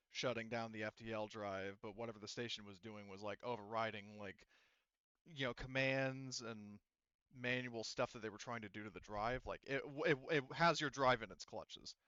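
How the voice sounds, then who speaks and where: A man with a clear, smooth voice at an ordinary pitch works as a game master. He explains the state of a tabletop game to players, repeating and rewording as he goes. The recording is dry and close. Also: moderate pace, a bit bright, no echo, lo-fi sound.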